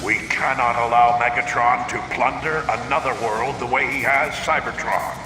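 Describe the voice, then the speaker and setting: A man's voice: medium pitch, steady tone, normal speed, natural voice. A man voicing a noble robot commander in a video game, earnest and authoritative. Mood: resolute. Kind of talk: video game heroic acting. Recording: reverberant, echoey, music behind.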